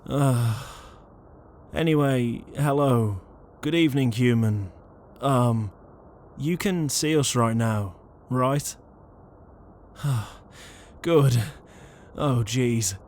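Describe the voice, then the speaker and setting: A man's voice: medium pitch, extremely slow, pauses often, a little mellow, slight background noise. A man playing an exasperated, conscientious ghost in a comedic audio roleplay, flustered, hesitant and pleading. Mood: anxious, flustered. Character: exasperated, conscientious male ghost, murdered shut-in.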